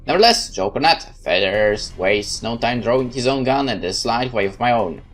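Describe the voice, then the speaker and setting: A man narrating a horror visual novel in first person, calm, measured and brooding. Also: medium pitch. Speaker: let's play host narrating a visual novel.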